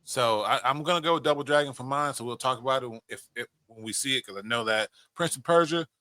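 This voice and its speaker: A man's voice: mid-range voice, quick pace, pushed and bright, dry recording. A man hosting a podcast in casual chat, swearing freely as he talks. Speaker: podcast host.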